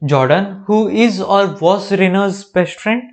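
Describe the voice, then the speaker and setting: A man's voice: sits high, slow pace. A young man with an Indian accent, recapping a TV show with sarcastic, jokey asides. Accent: Indian English. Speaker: reaction video host. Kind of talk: comedic recap narration.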